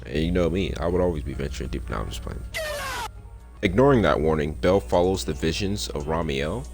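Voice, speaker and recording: A man's voice: very deep voice, animated, a little fast. A young man casually recapping a game's story, with slangy, joking asides. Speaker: gaming content creator. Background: faint game music.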